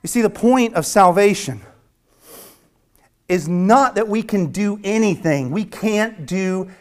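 A man from the American South preaching a sermon with a Southern accent, earnest and emphatic, repeating phrases and dropping at times to a hush. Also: high voice, expressive, slow. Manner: swings from hushed near-whisper to loud emphatic preaching. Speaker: pastor.

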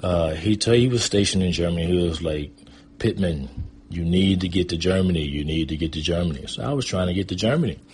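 A man's voice: sits low, brisk, darkish tone. An American man, around his fifties, tells stories from his own life in a casual, rambling, conversational way. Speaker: guest storyteller. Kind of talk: casual autobiographical storytelling.

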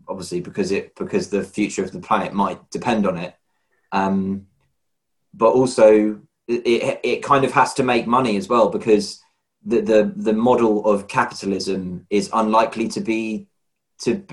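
A man hosting a podcast, asking questions in a hesitant way and often repeating words as he searches for them. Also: on the low side. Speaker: podcast host. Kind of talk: conversational interview.

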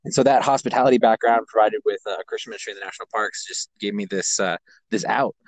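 A man's voice: lowish voice, fast talker, no echo, low-quality recording. A man in his twenties, an American who grew up abroad, telling personal stories casually as a podcast guest. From American who grew up in Kazakhstan and Japan. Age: 20s. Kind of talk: casual personal storytelling in an interview.

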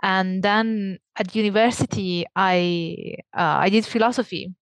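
Woman, Italian accent, academic guest, quick bursts, dry sound. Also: medium pitch, fairly even intonation, no room sound. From Italy. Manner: laughs often, quick bursts of speech. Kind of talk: conversational interview.

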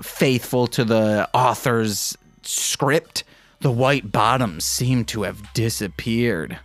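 Slow, dry-sounding man reading with wry asides. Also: medium pitch, no echo. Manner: mock-sultry narration broken by self-mocking asides. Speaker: podcast host. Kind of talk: comedic read-aloud of fan fiction with asides.

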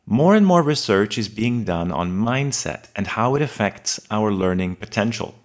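A man hosting a podcast for advanced English learners, reading a scripted episode in a measured, articulate way. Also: lowish voice, lively intonation. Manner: measured, articulate, precise delivery.

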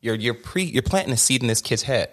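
A young man hosts a candid sit-down conversation, speaking very quickly. He pushes his points hard, stumbling into restarts and doubled words as his thoughts race ahead. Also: mid-range voice, lively intonation, fairly bright tone. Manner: rapid, emphatic, with many restarts and repeated words. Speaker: podcast host.

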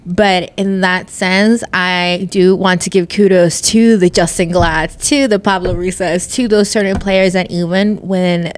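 A woman's voice: fairly low pitch, fairly even intonation, measured pace, few pauses. A young woman being interviewed about her club work, chatting casually with lots of "like" and frequent laughter. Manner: bubbly, laughs often, lots of "like". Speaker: club communications coordinator, guest.

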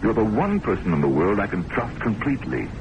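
An actor in an old-time radio drama playing a suave, self-important, middle-aged academic boss, wordy and patronizing. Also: male voice, on the low side, very animated, a little fast. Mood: smug, musing. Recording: noisy old radio broadcast recording.

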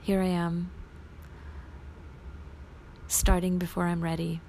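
A woman whose first language is Bosnian, hosting a casual solo podcast and talking in a rambling, self-reflective way that drops into soft near-whispers. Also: lowish voice, little pitch movement, slow, vocal fry, slight background noise. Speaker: podcast host.

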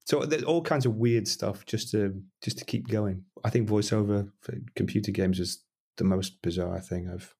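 Middle-aged male musician, husky voice, loose rambling interview talk. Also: lowish voice, moderate pace, darkish tone. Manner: rambling, self-deprecating, frequent restarts. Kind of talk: casual interview chat.

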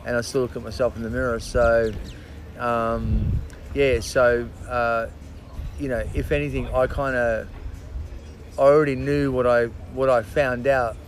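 A man chatting as a podcast guest, halting and full of restarts and fillers, swearing casually. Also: medium pitch, flat delivery, slight background noise. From Australia. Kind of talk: casual interview chat.

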